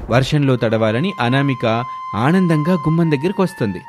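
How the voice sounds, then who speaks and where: Male narrator, scripted storytelling, middle pitch, music underneath. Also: animated, normal speed. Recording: music bed behind voice.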